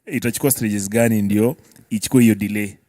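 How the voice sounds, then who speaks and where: A man's voice: fairly low pitch, steady tone, brisk, warm tone, dry recording, studio quality. A man with a Kenyan accent explaining as a guest in a casual discussion, step by step, often checking that listeners follow. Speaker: music producer guest.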